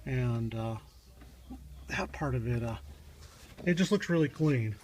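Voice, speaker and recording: A man gives a casual, unscripted how-to walkthrough in a halting, rambling, matter-of-fact way, recorded on a handheld phone in a garage. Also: mid-range voice. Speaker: hobbyist car DIY demonstrator. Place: home garage, in and around a car. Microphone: handheld phone, moved around while filming. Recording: distant mic, noisy handheld phone recording.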